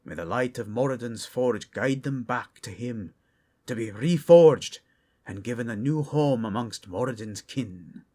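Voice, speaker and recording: A man's voice: mid-range voice, animated, unhurried, mellow. A man playing a solemn dwarf warrior. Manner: solemn, ceremonial. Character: solemn male dwarf warrior giving a toast.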